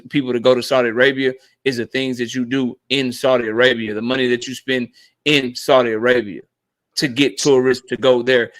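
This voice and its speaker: A man's voice: medium pitch, fairly bright tone, dry recording. Blunt, argumentative man hosting a stream. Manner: blunt, argumentative, swears freely. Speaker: host of a live boxing talk stream. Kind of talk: live-stream panel debate.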